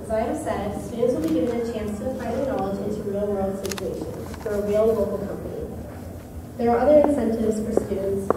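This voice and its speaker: A woman's voice: mid-range voice, brisk. A woman hosting an event, delivering a prepared speech and introduction to a group. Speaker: event host introducing the speaker. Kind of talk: prepared opening ceremony speech. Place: indoor event venue. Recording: very echoey hall, distant mic, clear noise.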